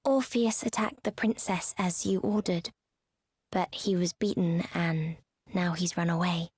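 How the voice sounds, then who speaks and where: An actress playing a timid, gentle young girl in a game, meek and hesitant. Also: lowish voice, steady tone, measured pace, husky, no echo. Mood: subdued. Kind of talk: dramatic video game voice acting.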